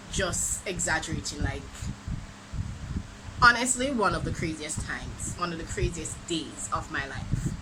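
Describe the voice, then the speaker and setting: A young woman from Jamaica with a Jamaican accent, telling a dramatic storytime for her vlog in an animated, exclamatory way. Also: mid-range voice. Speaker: vlogger. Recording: noisy background ambience.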